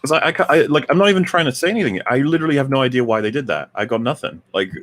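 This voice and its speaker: A man's voice: medium pitch, expressive, very fast, a bit bright. Laid back guy teasing his buddies on a chat show, mid voice. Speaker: guest. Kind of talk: casual chat.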